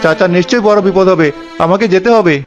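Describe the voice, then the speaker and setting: A man playing an earnest, humble young woodcutter son in an expressive fairy-tale cartoon performance. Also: sits high, fast talker, highs cut off, music in the background, lightly acted. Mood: determined.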